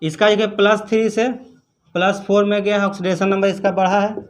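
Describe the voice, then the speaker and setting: A man's voice: sits high, little pitch movement, a little fast, a little hiss or hum. A man teaching chemistry step by step as an instructor, repeating his points for students. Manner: repetitive, instructive. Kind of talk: step-by-step exam problem lesson.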